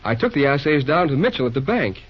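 Man playing an eager young railroad fellow, lively pitch. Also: quick pace, breathy edge. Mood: confident. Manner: folksy, exclamatory. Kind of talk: old-time radio acting. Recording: muffled old radio recording.

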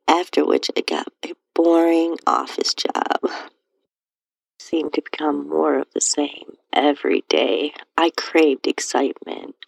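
A woman with a breathy, husky voice reading a first-person erotic story in a soft, intimate, near-whispered delivery. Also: sits low, dry recording, rough recording. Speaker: podcast host narrating own stories.

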